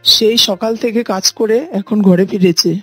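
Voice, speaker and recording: A woman's voice: medium pitch, fairly even intonation, moderate pace, a bit bright, breathy edge, no echo. A woman voicing an elderly village mother-in-law in a cartoon, plain-spoken and self-effacing. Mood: placating, gentle. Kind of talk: cartoon character dialogue. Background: faint music.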